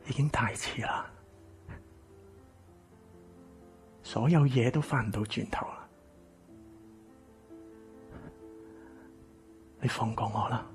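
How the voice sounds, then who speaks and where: A man acting a bitter, exploited grown son in a radio drama, with a Hong Kong Cantonese accent and an emotional, breathy delivery. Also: high voice. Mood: bitter, anguished. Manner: emotional, stammering, swinging from shouts to whispers. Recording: music behind the voice.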